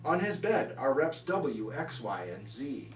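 A man's voice: medium pitch, very animated, measured pace, echoey room, distant mic, a little hiss or hum. A man voicing a sly, boastful, pushy cat from a rhyming storybook, with a showy singsong manner. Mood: bossy, scheming.

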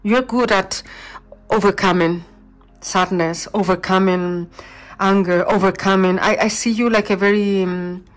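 A woman tarot reader, talking warmly and reassuringly to her viewers and sometimes searching for English words. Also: medium pitch, fairly even intonation, unhurried. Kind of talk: channeled tarot reading.

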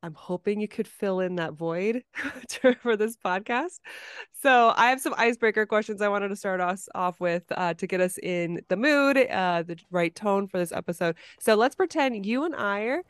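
A woman's voice: mid-range voice, moderate pace, no echo. A woman hosting a podcast, moving between scripted introduction and casual chat. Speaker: podcast host.

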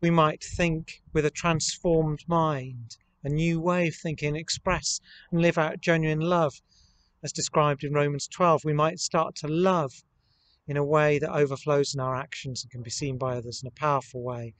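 A man in his thirties, a vicar, delivering a sermon to camera outdoors in measured, deliberate phrases. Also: a little high, fairly even intonation, a bit bright, no echo. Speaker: vicar leading worship. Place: outdoors in an open country churchyard.

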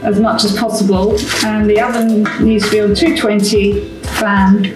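A woman's voice: medium pitch, little pitch movement, normal speed, distant mic. An older woman in her sixties, teasing her partner and reading recipe steps aloud while cooking at home. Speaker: co-host. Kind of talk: casual cooking chat. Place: home kitchen. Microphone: far camera. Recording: roomy kitchen from afar, music behind.

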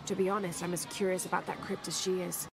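An actress with a British accent playing a calm, determined young adventurer in a video game. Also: female voice, on the low side, quick pace, vocal fry, noisy background. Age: young adult. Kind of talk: video game cutscene acting.

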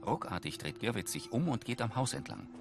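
A man's voice: medium pitch, animated, a little fast, gravelly, music in the background. A man reading scripted narration as an audio describer for a television drama.

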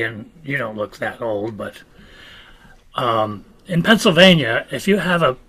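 A man in his seventies telling a short funny anecdote on a video call, slowing down for the punchline. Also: medium pitch, sharp tone. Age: 70s. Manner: storyteller, slows for effect. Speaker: club member telling a story. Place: home room.

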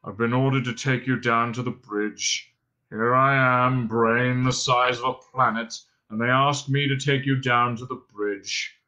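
Storybook robot, played by a male narrator: slow, droning, terminally bored and self-pitying, hard-edged deadpan. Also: medium pitch, low-quality recording. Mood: bored resentment. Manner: droning, muttering, deadpan, sarcastic.

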